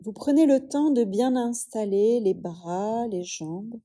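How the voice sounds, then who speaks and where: Very slow, soft dark-voiced woman guiding meditation. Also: on the high side. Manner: soothing, unhurried, with long pauses. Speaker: yoga nidra teacher. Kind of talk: guided relaxation meditation.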